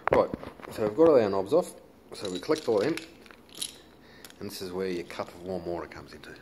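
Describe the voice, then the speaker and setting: A man's voice: low-pitched, sing-song, fairly quick. An Australian man giving a casual, laid-back hands-on how-to commentary in colloquial language. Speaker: hobbyist demonstrator. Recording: noisy handheld home video.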